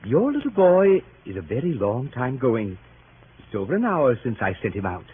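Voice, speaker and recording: A fussy, earnest older gentleman in a vintage radio comedy. His proper speech swoops dramatically in pitch through a thin, scratchy recording. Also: wildly swooping pitch, normal speed, phone-quality sound. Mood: hesitant, bashful. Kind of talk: 1930s radio acting.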